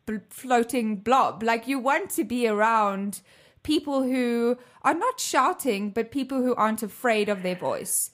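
A woman's voice: a little high, animated, measured pace. A woman interviewing a musician, encouraging her guest with frequent affirmations. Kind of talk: interview conversation.

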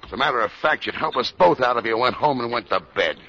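Hard-nosed police captain, blunt, obstinate, forceful tone, 1950s radio drama, muffled broadcast. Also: male voice, medium pitch, expressive, quick pace, slightly rough, full character voice. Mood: dismissive. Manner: blunt, brusque.

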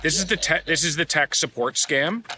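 A man's voice: fairly high pitch, normal speed, sharp tone, a little hiss or hum. A man, a journalist, speaking directly and urgently as he gives a warning in conversation.

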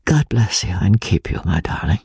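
A man's voice: fairly low pitch, sing-song, moderate pace, creaky, a little mellow, husky, no echo, pitched below the performer's own voice, full character voice. A man voicing a grave, secretive young Victorian husband, tender but resolute, in a restrained audiobook manner.

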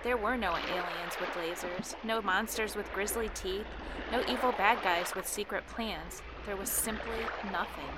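A woman's voice: mid-range voice, moderate pace, very noisy, natural voice. A woman playing a dead wife of forty returned as a memory in an audio drama, nostalgic and reproachful, with pointed questioning. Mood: nostalgic, matter-of-fact.

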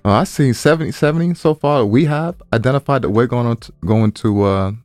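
A man's voice: medium pitch, brisk, darkish tone, dry recording, soft background music. A man co-hosting a podcast in casual banter, informal and easygoing, rambling a little as he talks. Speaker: podcast co-host.

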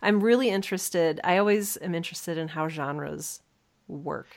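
A woman hosting a podcast interview in an informal, enthusiastic and expressive way. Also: on the low side, normal speed. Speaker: podcast host. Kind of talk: casual chat, interviewing.

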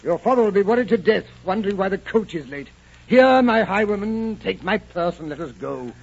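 A man playing a fussy, indignant English gentleman, blustering pompously in a 1940s radio drama. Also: sits high, animated, fairly quick, gravelly, slightly rough, heavily acted. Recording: muffled, noisy old 1940s radio recording.

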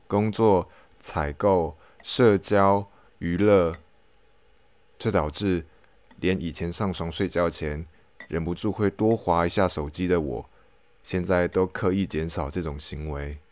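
A man's voice: sits low, unhurried, a little mellow, no room sound. A man podcast host delivering a scripted, reflective personal monologue.

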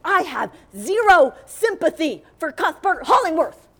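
An indignant woman in an audio comedy, her pitch swinging freely. She speaks in emphatic, ornate sentences full of theatrical scorn. She sounds exasperated and very sure of herself. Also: very animated, measured pace, exaggerated voice. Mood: scornful, indignant. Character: exasperated, indignant woman at a death-faking agency, theatrically eloquent. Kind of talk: audio comedy fiction acting.

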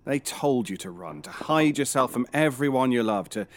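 An actor playing a calm assassin posing as a publisher in an audio drama, speaking politely and smugly in measured phrases. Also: male voice, medium pitch, close to an everyday voice. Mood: coldly menacing. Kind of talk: audio fiction drama acting.

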